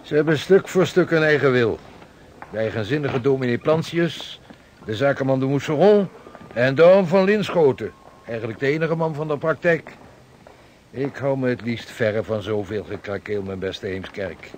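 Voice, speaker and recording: An actor playing a patient, formal 16th-century Dutch navigator in a radio play, reporting in deliberate archaic phrases. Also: male voice, medium pitch, lively intonation, a little mellow, a little hiss or hum, natural voice. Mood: weary, confiding. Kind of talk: radio drama acting, storytelling report.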